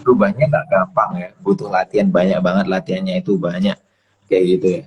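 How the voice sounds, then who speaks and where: A man's voice: low-pitched, level tone, brisk, dull audio, a crowd in the background. A man from East Java giving a casual live-stream talk as an English teacher, explaining and repeating his points with rhetorical questions. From Kediri, East Java, Indonesia. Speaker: English teacher, guest speaker. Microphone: phone or laptop mic on a live video call.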